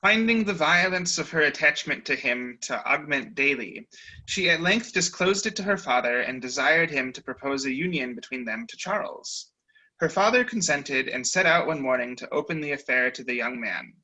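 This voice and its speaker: Bright, wry male narrator for a video-call stage reading. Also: fairly high pitch, lively intonation, normal speed, poor audio.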